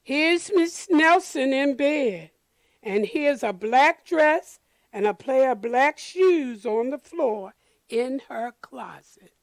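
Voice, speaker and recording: Slow, high-voiced woman reading a storybook. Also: expressive, no echo. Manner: deliberate, addresses children and explains pictures. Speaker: storyteller reading a children's picture book. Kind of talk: expressive read-aloud for young children.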